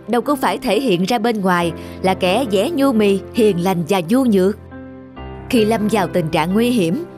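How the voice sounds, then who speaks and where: A woman voicing a wise, stern old king cobra in an animated fairy tale, speaking in a measured, admonishing manner. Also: medium pitch, voice pushed down, natural voice. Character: long-lived king cobra, wise, stern, authoritative mentor. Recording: music under the voice.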